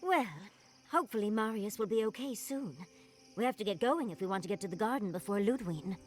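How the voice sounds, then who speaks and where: A woman's voice: medium pitch, animated, brisk, faint music behind, lightly acted. A woman acting a plucky, spirited adventuring companion in a video game, playful in manner. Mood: concerned. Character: plucky, cheeky, upbeat female adventuring companion.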